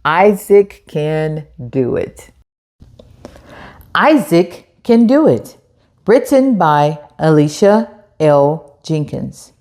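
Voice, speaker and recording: A woman hosts a read-aloud for children with a lively, swooping intonation. She takes her time, addressing young listeners directly in an encouraging way. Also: sits low, drawn-out words. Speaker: storytime host.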